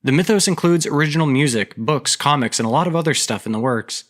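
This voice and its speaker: A man hosting his own podcast, narrating a story and giving announcements in a steady, measured way. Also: a little high. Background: background music.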